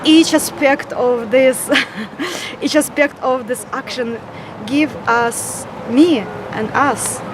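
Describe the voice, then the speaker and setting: A woman's voice: high-pitched, slow pace, noisy background. A woman from Russia with a Russian accent, introducing herself on camera as a vendor at a festival, effusive and searching for words.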